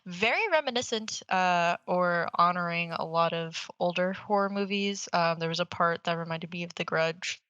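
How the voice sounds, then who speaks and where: Female podcast host, slightly low pitch, close dry sound, loose conversational movie chat. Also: moderate pace, few pauses, a bit bright, clear, resonant tone, no echo, dull audio. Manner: informal, rambling, swears casually. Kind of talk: casual chat, movie review.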